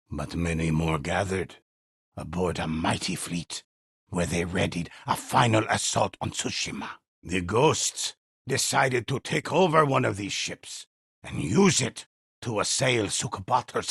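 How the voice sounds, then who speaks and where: An actor playing a grave old male storyteller, narrating dark legends for a video game in a solemn, measured, gravelly voice. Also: lowish voice, no room sound, studio quality, exaggerated voice. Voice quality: gravelly, husky. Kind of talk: dramatic scripted legend narration.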